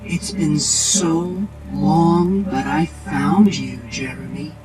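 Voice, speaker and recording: A man voicing the vengeful ghost of a drowned young girl, speaking slowly and hauntingly over music. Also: on the high side, pitched above the performer's own voice, low-quality recording.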